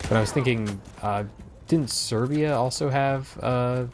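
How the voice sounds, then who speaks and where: A man with an American accent gives casual, reflective commentary on history in a halting way. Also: medium pitch, a little mellow, slight background noise. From United States.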